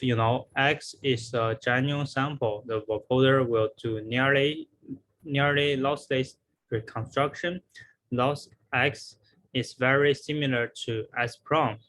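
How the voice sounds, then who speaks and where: A man presenting research as a scripted academic talk, in a somewhat halting, explanatory way. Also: mid-range voice, fairly bright tone, rough recording. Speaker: researcher presenting a conference paper.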